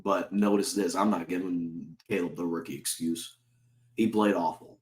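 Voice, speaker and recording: A man's voice: low-pitched, steady tone, normal speed, darkish tone, reverberant. A man with a sore throat hosting his own sports channel, ranting heatedly as a fan and swearing often, firing off repeated rhetorical questions. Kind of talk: ranting fan commentary to camera.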